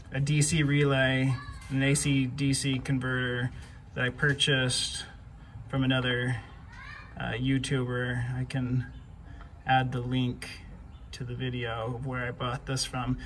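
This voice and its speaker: Halting man explaining, noisy background. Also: mid-range voice, a bit bright. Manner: halting, repeats words while explaining. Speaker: DIY homeowner. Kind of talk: casual hands-on tutorial. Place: outdoors by a house front door. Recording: clear background noise, outdoor ambience.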